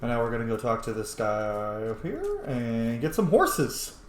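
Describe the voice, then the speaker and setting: A man giving casual, chatty, sweary commentary as he plays a video game, thinking out loud. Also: mid-range voice, huge pitch swings, moderate pace. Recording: echoey room, faint background noise.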